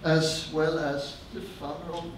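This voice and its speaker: A man's voice: a little high, little pitch movement, measured pace, far from the mic, background noise. A man moderating a conference, introducing a speaker in an informal, joking way. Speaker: event moderator. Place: large conference hall. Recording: echoey hall, voice from afar.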